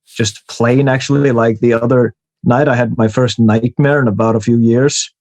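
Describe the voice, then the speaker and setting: A man speaks in a mid-pitched voice, recorded dry with very little room sound. He chats casually like a podcast guest, informal and happy to swear while telling personal stories. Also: fairly even intonation, moderate pace, darkish tone, no room sound. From Iceland. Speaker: recurring podcast guest, horror writer. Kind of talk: casual chat, personal anecdotes.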